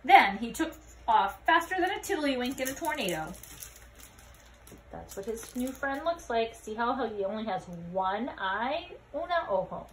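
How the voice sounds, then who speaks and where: A woman reads a picture book aloud in a high, very animated voice. She is expressive and often turns to young listeners with questions. The recording carries some background noise. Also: measured pace, rough recording. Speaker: read-aloud storyteller.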